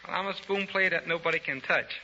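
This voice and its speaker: A man, an amateur contestant on a 1930s radio show, talking in a halting, boastful way. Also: a little high, sharp tone. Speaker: amateur novelty act contestant. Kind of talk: 1930s live radio interview. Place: stage of a live radio show. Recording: muffled, noisy 1930s radio broadcast.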